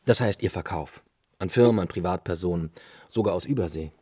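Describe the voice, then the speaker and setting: A man's voice: low voice, a little fast, noisy background, natural voice. An actor playing a jovial male drinking buddy in a radio play. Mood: matter-of-fact. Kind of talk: casual radio play dialogue.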